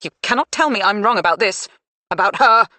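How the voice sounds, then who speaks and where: A woman voicing a scornful, bitter middle-aged mother, shrill and accusing. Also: expressive, a little fast, sharp tone, dry recording.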